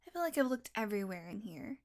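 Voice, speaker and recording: A woman playing a sardonic, teasing, predatory vampire with a soft spot, in an intimate, sweary audio roleplay monologue that often drops to a murmur. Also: medium pitch, sing-song, quick pace, lightly acted. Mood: irritated, snarky.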